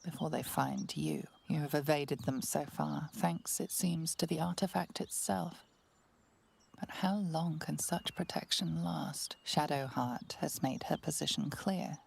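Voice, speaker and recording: An actress playing an ominous storyteller, narrating a video game in a hushed, measured, dramatic way. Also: female voice, deep voice, gravelly, breathy edge, full character voice. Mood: grave, foreboding.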